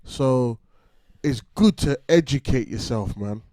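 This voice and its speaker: A man's voice: mid-range voice, animated, slow, dark tone, no echo. Guy chatting loose on a reaction vid, bruv and all, dry mic. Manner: laid-back, chatty, full of slang. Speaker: reaction video co-host. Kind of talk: casual reaction chat.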